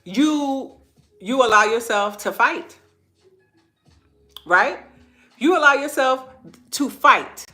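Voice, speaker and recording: A woman's voice: high voice, lively intonation, slow pace, long pauses, pushed and bright, soft background music. A woman hosting an inspirational faith-based livestream, earnest and repeating phrases for emphasis, her nose stuffy and congested. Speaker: host of a live online talk.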